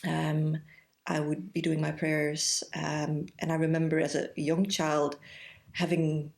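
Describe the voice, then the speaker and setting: Low-voiced Belgian woman in her forties. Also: flat delivery, creaky. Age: 40s. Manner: reflective, thoughtful, pausing often with "um". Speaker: podcast guest. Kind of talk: casual interview conversation.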